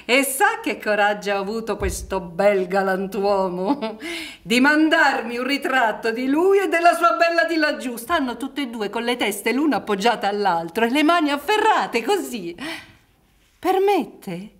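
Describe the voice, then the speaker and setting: An actress in a radio drama playing a brisk, cynical village woman, theatrical, with drawn-out words and scornful laughs. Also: fairly high pitch, expressive, a bit bright. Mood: mocking, bitter.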